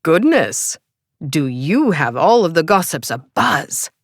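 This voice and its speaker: A woman's voice: low voice, big pitch swings, slow pace, dry recording, studio quality, full character voice. A woman voicing a teasing young male vampire with an English accent, playful and mocking. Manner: playful and mocking, sing-song.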